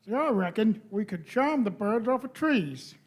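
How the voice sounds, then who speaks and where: A man's voice: sits high, animated, moderate pace. A man playing a jokey, chatty young English policeman in a live radio play, colloquial and cheeky.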